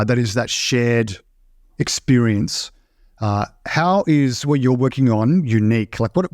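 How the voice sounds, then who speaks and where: A man's voice: medium pitch, dry recording, studio quality. A man hosting a podcast, asking casual, rambling questions with several parts. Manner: casual, asks rambling multi-part questions. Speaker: podcast host. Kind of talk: casual interview chat.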